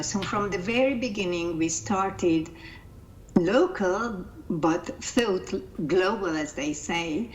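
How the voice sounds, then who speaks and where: A woman's voice: on the low side, reverberant, a little hiss or hum, poor audio. A woman with a Hungarian accent, a native Hungarian speaker, talking earnestly in an interview over a video call, often restarting her sentences. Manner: earnest, halting, with repeated restarts. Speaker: guest, coach and founder.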